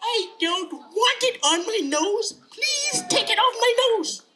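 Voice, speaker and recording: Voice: extremely high pitch, big pitch swings, slow, bright, forceful tone, pitched above the performer's own voice, full character voice. A storyteller voicing a whiny, pleading, indignant little boy in a children's story, recorded from a distance. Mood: whiny protest.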